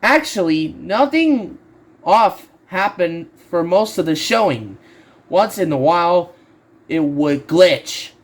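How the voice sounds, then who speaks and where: A man narrates a creepy story as an amateur reader. His voice sits quite high for a man and he goes slowly. His delivery is halting, with words repeated and restarted as he reads. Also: animated. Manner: halting, stumbles and repeats words while reading. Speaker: narrator of horror stories. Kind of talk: amateur creepypasta reading aloud.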